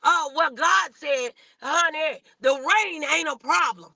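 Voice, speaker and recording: Female American preacher-host, high-pitched and very forceful, exhorting an online congregation, close dry sound. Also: takes it slowly, no echo. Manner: exhorting, calling on listeners with repeated rhetorical questions. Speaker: host and minister preaching morning motivation. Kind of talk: motivational sermon to an online audience.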